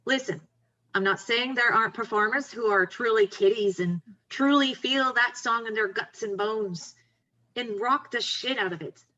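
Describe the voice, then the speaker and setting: Woman acting bright-voiced, blunt, wry best friend. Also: fairly high pitch, moderate pace, highs cut off. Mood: blunt, challenging. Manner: frank, coaxing, plain-spoken. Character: Riley, blunt, confident, wry young woman artist friend. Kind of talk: table read of a screenplay.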